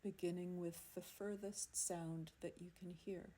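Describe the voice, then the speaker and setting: Slow, mellow woman guiding relaxation. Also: fairly low pitch, little pitch movement. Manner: soothing, unhurried, with long pauses. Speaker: yoga nidra teacher. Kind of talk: guided relaxation meditation. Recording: amateur recording with faint background noise.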